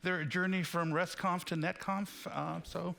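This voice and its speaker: A man's voice: high voice, steady tone, a little slow, vocal fry, fairly bright tone. A man hosting a conference session, informally introducing the next speaker. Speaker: session host.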